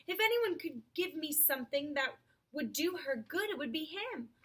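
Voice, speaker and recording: A woman's voice: high voice, expressive, moderate pace, a bit bright. Woman as an urgent, anxious young boy. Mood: earnest. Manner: urgent, pleading.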